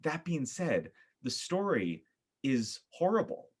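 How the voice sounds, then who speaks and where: A man with an American accent, from upstate New York, talking casually as a podcast guest in an animated, opinionated, emphatic storytelling way. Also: medium pitch, slow, breathy edge. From upstate New York, United States.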